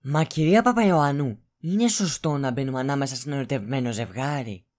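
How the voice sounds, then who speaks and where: A woman's voice: extremely low pitch, a little mellow. A woman playing a gullible, fawning male assistant in an audio drama, deferential and naive. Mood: doubtful, naive. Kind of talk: Greek audio drama acting.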